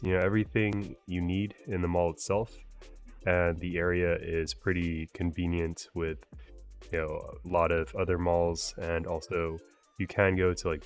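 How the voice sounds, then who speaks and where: A man talking casually as a travel vlogger, relaxed and chatty with frequent fillers. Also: low voice. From United States. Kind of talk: casual travel vlog narration.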